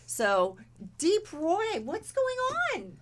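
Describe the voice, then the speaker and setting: A woman hosting a podcast talk show, animated and jokey, laughing often and talking over her guests. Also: extremely high pitch, extremely slow. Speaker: podcast host.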